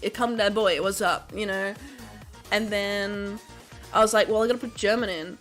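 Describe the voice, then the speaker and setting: A woman's voice: mid-range voice, fairly even intonation, normal speed, fairly bright tone, soft background music. An Australian woman with a casual Australian accent, chatting on a livestream as a VTuber. She talks loosely, laughs often and swears freely. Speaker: VTuber streamer.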